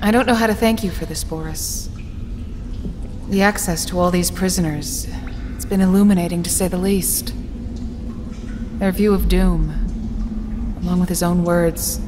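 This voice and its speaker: A woman's voice: on the low side, steady tone, measured pace, long pauses, background noise, lightly acted. A woman voicing an inquisitive adult journalist in a comic-book drama. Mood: curious.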